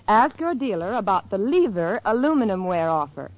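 A woman's voice: mid-range voice, sing-song, normal speed, no room sound. Female American ad announcer, vintage 1940s radio delivery, mid-range voice, dry lo-fi recording.

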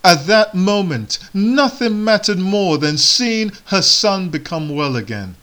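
A man whose first language is Igbo, giving a sermon in slow, measured phrases with solemn pauses. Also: high voice, very animated, fairly bright tone. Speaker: preacher.

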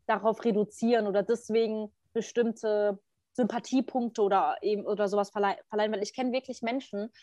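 A woman's voice: mid-range voice, steady tone, fairly quick, dark tone, no room sound. A young woman chatting casually in youth slang, bluntly arguing her opinions at length. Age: young adult. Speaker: participant in a group talk.

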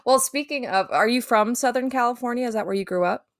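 A woman's voice: a little high, quick pace, no room sound, clean studio sound. A woman from the Midwest hosting a podcast, chatty and teasing, laughing often. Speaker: podcast host. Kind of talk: casual chat.

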